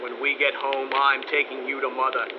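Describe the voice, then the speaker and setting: A man's voice: mid-range voice, completely flat delivery, normal speed, dull audio, background noise, natural voice. An actor playing a stern legendary king and weary father in a radio drama, speaking in a curt, commanding way. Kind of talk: rhyming verse radio drama.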